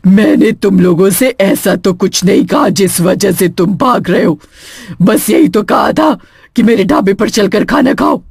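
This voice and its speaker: A woman's voice: mid-range voice, animated, measured pace, vocal fry, darkish tone, breathy edge, no room sound, studio quality, heavily acted. A woman voicing a lonely female witch who runs a small food stall, coaxing yet menacing, in a cartoon horror story.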